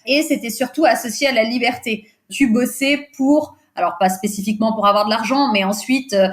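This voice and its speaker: A woman in her thirties whose first language is Portuguese chats on a podcast in a slightly high voice. She hesitates often, fills pauses and laughs readily as she remembers. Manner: hesitant, many fillers, laughs easily. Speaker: podcast guest, recruiter. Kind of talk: casual interview chat.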